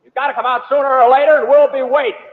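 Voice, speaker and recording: High, loud, echoing western lawman. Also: little pitch movement, racing. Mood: commanding, urgent. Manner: loud, commanding calls. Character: tough, determined western lawman calling out a fugitive. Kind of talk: old-time radio western acting. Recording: very noisy, echoing, phone-like radio recording.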